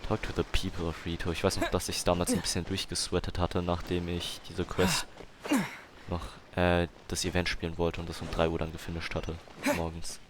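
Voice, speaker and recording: A man's voice: low-pitched, little pitch movement, normal speed, background noise. A man with a German accent, commenting casually on a game and reading dialogue aloud in a slangy manner that mixes in English. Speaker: gamer playing and commenting.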